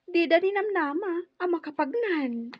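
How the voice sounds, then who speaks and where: High, animated younger sister. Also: female voice, a little slow. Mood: indignant, emphatic. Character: chatty, indignant younger sister figure. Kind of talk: radio drama acting. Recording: dull radio drama recording, loud music. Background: background music.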